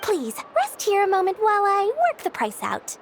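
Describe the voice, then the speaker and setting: Very high, girlish flattering merchant. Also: normal speed, noisy background, full character voice. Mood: effusive. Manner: effusive, flowery salesperson's patter. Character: small girlish merchant, flattering, money-minded, theatrical. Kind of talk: animated video game voice acting.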